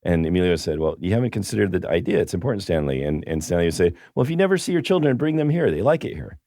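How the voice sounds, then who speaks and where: A man co-hosting a casual film podcast, rambling through a story with many restarts, his voice going hoarse. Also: low voice, very animated, very fast, dark tone, dry recording, clean studio sound. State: losing his voice. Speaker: podcast co-host.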